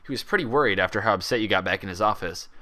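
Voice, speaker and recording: A man's voice: lowish voice, racing, sharp tone. Man voicing a chipper, condescending pony doctor. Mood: chatty, placating. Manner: chatty, placating, chuckling. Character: cheerful, condescending male pony doctor, falsely soothing.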